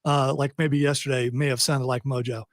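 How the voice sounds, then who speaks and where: A man's voice: medium pitch, level tone, moderate pace, dry recording, clean studio sound. A man hosting a pop culture podcast, rambling with restarts as he steers the talk. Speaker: podcast host. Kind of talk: casual chat.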